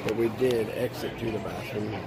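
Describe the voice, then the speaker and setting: A man's voice: on the low side, steady tone, normal speed, background noise. A man casually narrating a walkthrough of camper trailers, chuckling as he goes, filmed on a phone. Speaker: vlogger touring trailers. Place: indoor convention hall RV show.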